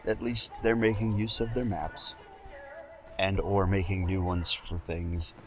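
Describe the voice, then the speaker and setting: A man with a very deep, low voice joins a gameplay commentary as a guest. He delivers dry, deadpan quips. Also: a little slow, faint music behind.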